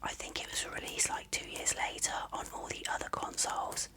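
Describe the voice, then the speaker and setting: A person whispering an ASMR unboxing as the video's host, in soft, unhurried, rambling commentary.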